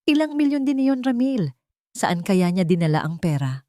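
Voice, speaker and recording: A woman's voice: mid-range voice, very animated, moderate pace, warm tone, dry recording, clean studio sound, natural voice. A woman with a Filipino accent playing a vengeful, calculating wronged wife in an audio drama, pointed and insinuating.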